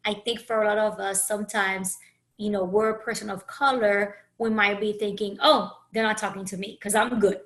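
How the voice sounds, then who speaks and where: Bright-voiced Haitian-raised woman podcaster. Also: mid-range voice, level tone. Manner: earnest, searching, with frequent restarts and pauses. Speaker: podcast host and coach. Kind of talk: reflective solo podcast monologue.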